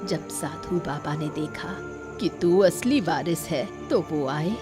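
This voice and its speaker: A woman plays a wise old mother from a village cartoon tale. She explains patiently in a lowish, very slow voice, with prominent music underneath. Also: lively intonation. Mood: calm, revealing. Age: older. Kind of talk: scripted cartoon story acting.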